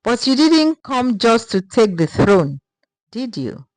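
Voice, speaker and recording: A woman plays a wise village elder in a storybook folktale. She speaks very deliberately, gentle yet firm and grave, with a dry, close sound. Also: a little high, big pitch swings, no room sound, voice pushed up. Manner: soft but strong, measured.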